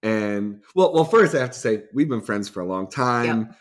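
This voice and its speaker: A man's voice: mid-range voice, expressive, normal speed. Friendly male podcast host. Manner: friendly, reminiscing, informal. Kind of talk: conversational interview.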